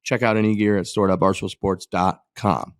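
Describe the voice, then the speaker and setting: A man's voice: low voice, steady tone, moderate pace, no room sound. A man hosting a golf podcast, chatting loosely and running through a casual ad read with lots of repeats. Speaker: podcast host.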